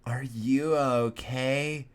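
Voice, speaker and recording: Melodramatic goth teen girl, played by a man, very slow, drawn-out, anxious, mock-gothic storybook voice. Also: medium pitch, steady tone, clean tone. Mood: gloomy concern.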